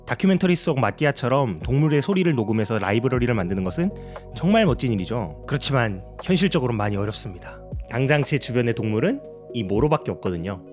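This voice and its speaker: A man's voice: medium pitch, big pitch swings, quick pace, a little mellow, soft background music. A man narrating a tutorial as a sound designer, explaining his process in a polite way.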